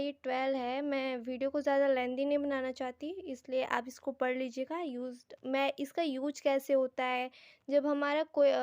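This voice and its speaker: Woman, Indian accent, high voice, dry close sound, informal teacher, explanatory delivery. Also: a little mellow, no echo, muffled recording. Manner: explanatory, addresses viewers directly, mixes Hindi and English. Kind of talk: informal grammar lesson for online students.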